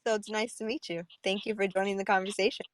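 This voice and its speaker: A woman's voice: mid-range voice, little pitch movement, quick pace, no echo. A young woman hosting a podcast in casual chat, talking freely, laughing often and saying "like" a lot. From New York. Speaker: podcast host. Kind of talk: casual chat on a live audio panel.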